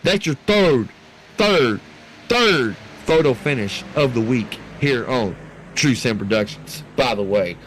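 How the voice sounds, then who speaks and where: A man giving excited, folksy live play-by-play commentary on a sim race, in an animated and colloquial way. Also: medium pitch, slow pace. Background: racing game vehicle noise.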